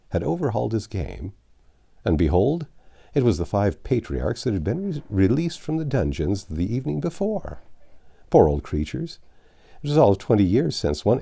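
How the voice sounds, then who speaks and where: A man reading classic literature aloud as an audiobook narrator, phrasing deliberately and expressively. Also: lowish voice, creaky, warm tone, slightly rough.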